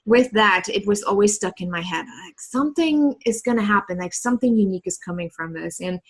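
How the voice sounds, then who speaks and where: A woman in her thirties speaks English with a German accent, her first language being German. She talks in an earnest, reflective way, laughing often and leaning on casual fillers. Her voice sits at an ordinary middle pitch. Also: animated, brisk, husky. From East Germany. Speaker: podcast guest, entrepreneur. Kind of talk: casual conversational storytelling.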